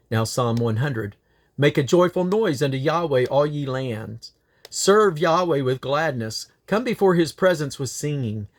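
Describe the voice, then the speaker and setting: This is a man with a somewhat higher-pitched voice, reading from scripture. His delivery is solemn and declamatory, as a reader presenting the text aloud. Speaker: Bible reader.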